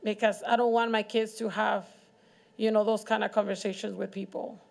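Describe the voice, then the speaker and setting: A woman with a Mexican accent sharing her own experiences at a community forum, haltingly and in search of words. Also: medium pitch, flat delivery, gravelly. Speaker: community panelist, business owner. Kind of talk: personal testimony at a community forum.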